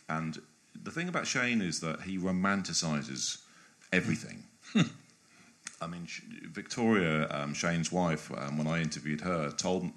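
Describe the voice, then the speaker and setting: Man, very low voice, interview guest, biographer, discursive, halting, restarts phrases. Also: a bit bright.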